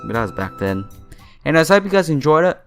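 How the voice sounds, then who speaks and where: A man reading a visual novel aloud and commenting casually as a let's player, halting and informal. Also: fairly high pitch, big pitch swings, fairly bright tone. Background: game music.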